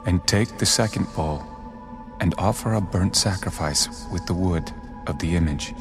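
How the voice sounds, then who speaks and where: Divine messenger, deep voice, solemn, commanding, measured delivery, biblical audio drama, music behind. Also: male voice.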